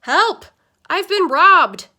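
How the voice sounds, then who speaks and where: Woman playing storybook grandpa, elderly, toothless mumble, dramatic, indignant, suspicious, drawn-out forceful delivery. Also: extremely high pitch, expressive, pitched above the performer's own voice, full character voice. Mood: alarmed shouting. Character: distraught old grandfather missing his false teeth, indignant.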